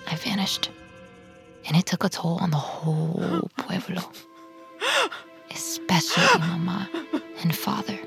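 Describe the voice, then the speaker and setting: An actress playing a guarded, sorrowful female vampire in an audio drama, with a measured, introspective, hesitant delivery. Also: lowish voice, very animated, gravelly, fairly bright tone, soft background music, full character voice. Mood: sorrowful, reflective. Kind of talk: audio drama narration and dialogue.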